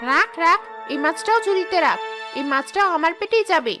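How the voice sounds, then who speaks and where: Sly female tree ghost, played by a woman, extremely high voice, grumbling cartoon schemer, music behind. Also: lively intonation, a little fast. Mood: greedy scheming. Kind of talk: cartoon character acting.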